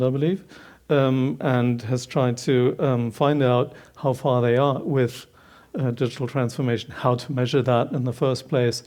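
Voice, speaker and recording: A German-accented man in his forties introduces panelists on a conference stage, with a mid-range voice. He talks in halting runs full of ums, miked on stage in a large hall. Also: mid-range voice, a little mellow. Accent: Bavarian German. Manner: hesitant, many ums, long introductions. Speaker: panel moderator.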